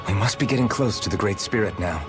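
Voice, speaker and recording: A man's voice: fairly low pitch, expressive, fast talker, music in the background, lightly acted. A man playing a serious, pragmatic young hero in a video game cutscene, with understated delivery. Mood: resolute.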